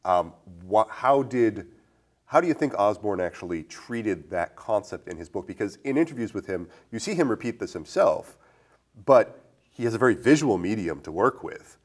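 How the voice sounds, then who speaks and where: A man with a lowish voice hosting a casual film discussion, thinking aloud as he frames probing questions. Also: lively intonation, normal speed. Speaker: podcast host.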